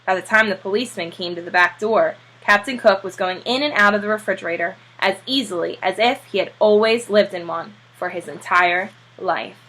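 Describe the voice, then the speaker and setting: Bright-voiced woman reading a children's story. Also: medium pitch, a little fast, few pauses, poor audio. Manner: expressive read-aloud delivery. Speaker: teacher reading aloud. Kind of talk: scripted children's storybook narration.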